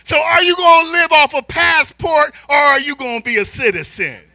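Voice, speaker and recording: A middle-aged American man preaching a sermon with theatrical, drawn-out emphasis, telling stories and addressing his congregation through a handheld microphone. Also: extremely high pitch, vocal fry, no room sound. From born in Spain, American military family. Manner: animated, theatrical, drawn-out emphasis, swinging from quiet asides to shouts. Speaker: church elder preaching. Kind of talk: sermon with storytelling and call-and-response to congregation. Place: large church hall, indoors.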